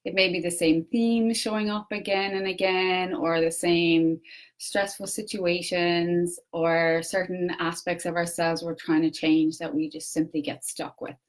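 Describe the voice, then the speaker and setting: A young Irish woman with an Irish accent gives an earnest solo livestream talk, with many pauses and restarts. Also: fairly low pitch, flat delivery. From Ireland. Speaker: personal development coach. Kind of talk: solo Facebook Live talk.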